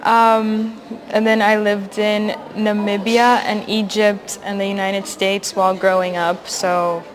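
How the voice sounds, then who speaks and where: A woman in her twenties from Brazil, introducing herself in a relaxed way. She talks slowly. Crowd chatter can be clearly heard behind her. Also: mid-range voice, rough recording. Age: 20s. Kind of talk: self-introduction.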